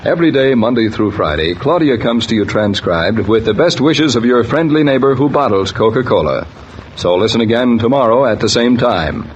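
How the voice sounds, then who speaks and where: A genial man announcing and telling stories in a measured, folksy 1940s radio style. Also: mid-range voice, expressive. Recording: dull, noisy old radio transcription.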